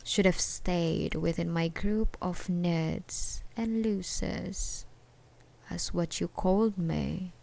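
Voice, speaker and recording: Woman acting a slow, creaky obsessive ex-girlfriend. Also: on the low side. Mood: bitter, resentful. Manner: slow, menacing, drawn-out taunting with dark chuckles and whispers. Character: obsessive, menacing jilted ex-girlfriend hunting her beloved. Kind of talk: ASMR roleplay, intimate dramatic monologue.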